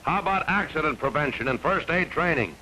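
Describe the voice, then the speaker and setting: High, bright, earnest actor's pitchman. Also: lively intonation, a little fast, slight background noise, poor audio. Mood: earnest, insistent. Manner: insistent, rhetorical questioning. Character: earnest, persistent, polite charity pitchman. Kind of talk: acted radio public service spot.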